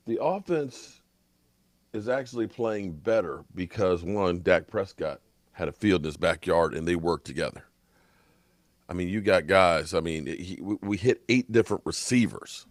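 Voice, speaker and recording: Hoarse guy ranting about football, fed up and emphatic, dry close sound. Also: sits low, no room sound. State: hoarse, coughing. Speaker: sports commentator. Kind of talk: opinionated sports talk.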